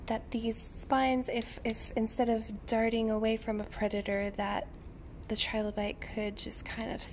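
Soft-spoken young woman, noisy ASMR recording. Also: fairly high pitch, little pitch movement. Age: young adult. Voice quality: soft, hushed, sometimes slipping into whisper. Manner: unhurried, informal, thinking aloud while drawing. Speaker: illustrator and paleontology student demonstrating drawing. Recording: clear background noise, pencil scratching on paper. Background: pencil and paper sounds, room noise.

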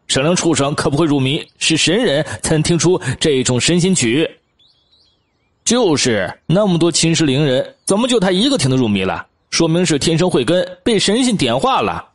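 Man playing an animated gossiping townsman. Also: on the high side, breathy edge. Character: gossiping male townsfolk, excitable and opinionated. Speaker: audiobook voice actor. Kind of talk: acted audiobook dialogue.

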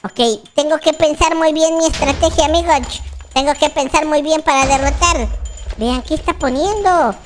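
A performer voicing an excitable baby boy hero in Minecraft roleplay commentary, childlike and dramatic, repeating short exclamations. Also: high voice, normal speed, a little hiss or hum, natural voice. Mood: focused, chatty. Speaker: gaming video host. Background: children's background music.

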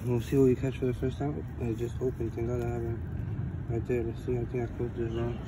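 A young man in his twenties chatting casually to his phone camera outdoors by a lake, rambling and getting excited. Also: mid-range voice, fast. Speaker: vlogger. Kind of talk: casual vlog narration. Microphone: phone held in hand. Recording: loud outdoor noise, traffic.

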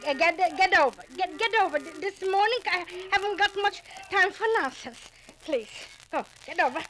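1940s radio mother, very high bright voice, fussy and flustered. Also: moderate pace, a little hiss or hum. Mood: coaxing. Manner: fussy, flustered, repeats herself. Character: warm bustling middle-aged mother on a farm. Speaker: radio actress.

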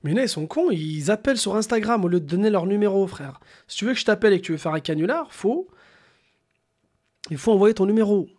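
High-pitched young man live streaming. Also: fast talker. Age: young adult. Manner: slangy, animated, swearing. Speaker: prank call streamer. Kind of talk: casual live stream chat with crude prank storytelling. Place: small room at home.

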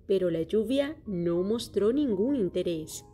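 Mellow lady reading a kids story, steady and clear, quiet music behind. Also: mid-range voice, unhurried. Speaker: storyteller for a children's museum. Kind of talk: scripted children's story narration. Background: soft music.

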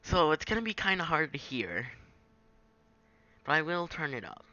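A man giving a casual, improvised software tutorial in a halting, self-deprecating way on a home microphone. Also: a little high, very animated, lo-fi sound. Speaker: tutorial maker. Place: at a home computer, screen recording.

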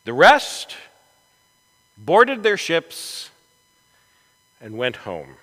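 Man, pastor, bright forceful voice, dramatic storytelling sermon, slow deliberate pace. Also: a little high, huge pitch swings. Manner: dramatic, deliberate delivery with emphatic swells. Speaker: pastor preaching. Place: large church sanctuary, from the chancel. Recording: echoey church hall with long reverb.

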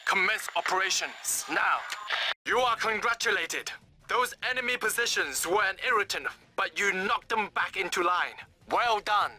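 An actor playing a formal, patriotic army commander, speaking in a clipped, solemn way in a video game military briefing. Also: male voice, sits high, animated, normal speed, pushed and bright, full character voice. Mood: proud praise. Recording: clear background noise.

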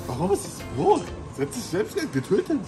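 A man's voice: high voice, huge pitch swings, brisk. A young man chatting casually in slangy everyday speech. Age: young adult. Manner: slangy, colloquial. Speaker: vlog participant. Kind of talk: casual chat. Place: inside a supermarket. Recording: music running under the voice.

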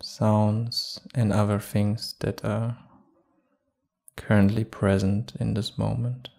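A man guiding a meditation calmly and without hurry, leaving long pauses between short phrases. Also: fairly low pitch, gravelly. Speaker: meditation guide. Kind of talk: guided meditation.

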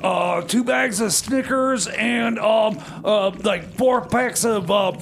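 Comedy radio role: frazzled, excitable boss, played by a man, very high gravelly voice, halting delivery, some background noise. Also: full character voice. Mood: harried. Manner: stammering, halting, repeats words. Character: frazzled, excitable man bossing child workers. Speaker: radio comedy show host. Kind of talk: improvised comedy radio theater.

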